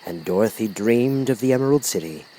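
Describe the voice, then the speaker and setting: A man reading a children's storybook aloud as an expressive audiobook narrator. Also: lowish voice, brisk, a little hiss or hum, poor audio.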